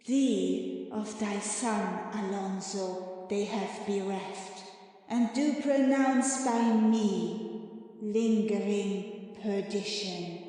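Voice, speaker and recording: A woman's voice: mid-range voice, fairly even intonation, mellow. A woman voicing an airy spirit disguised as a harpy, solemnly passing judgment in a dramatic Shakespeare audiobook. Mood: solemn, condemning. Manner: slow, deliberate, portentous delivery with long pauses. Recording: very echoey long reverb, faint music.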